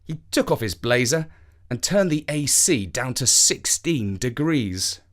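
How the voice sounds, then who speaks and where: A man narrating a children's story in an expressive storytelling manner. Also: medium pitch, a little slow.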